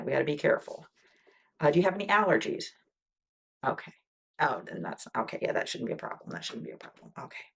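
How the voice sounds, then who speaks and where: Low creaky-voiced woman doing a gentle wound clinic asmr roleplay, lots of soft okays and whispers. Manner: gentle, reassuring, with frequent soft "okay"s and whispered asides. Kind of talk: ASMR medical exam roleplay.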